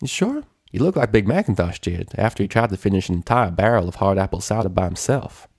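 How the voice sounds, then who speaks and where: A man's voice: on the low side, lively intonation, quick pace, darkish tone, breathy edge. A man voicing a feisty, bossy but friendly country farm mare from a pony cartoon, folksy and emphatic. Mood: teasing.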